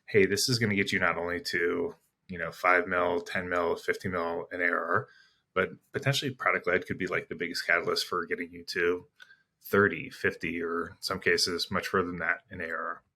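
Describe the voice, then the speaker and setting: A man's voice: low voice, steady tone, brisk, pushed and bright, breathy edge. A man speaking as an interview guest, explaining business ideas reflectively in a conversational way, building his points piece by piece. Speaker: interview guest, sales executive.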